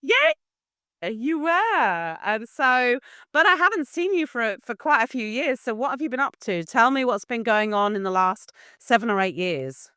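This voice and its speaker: A woman's voice: on the high side, sing-song, moderate pace, bright, forceful tone, clear, resonant tone, no echo, clean studio sound. A woman hosting a podcast, interviewing her guest in a friendly, conversational way. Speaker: podcast host.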